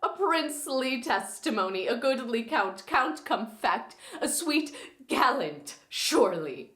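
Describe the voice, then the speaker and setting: A woman performs a young noblewoman of the classical stage, wounded and enraged. Her voice is raised high and impassioned, stretching words in anguish. It rings in an echoey room. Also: sing-song, a bit bright. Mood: bitter scorn. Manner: impassioned, anguished theatrical delivery with drawn-out words. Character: furious, grief-stricken young noblewoman in a Shakespeare play.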